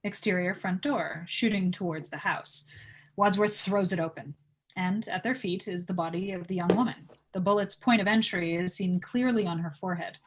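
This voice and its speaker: A woman's voice: on the low side, moderate pace, low-quality recording. A woman narrating stage directions at an informal table read, reading scripted scene descriptions aloud.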